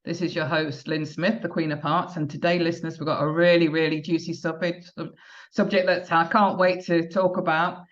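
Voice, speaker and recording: A woman's voice: on the low side, level tone, normal speed, dull audio. A woman hosting a podcast, interviewing a guest, talking hesitantly with many fillers and restarts. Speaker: podcast host.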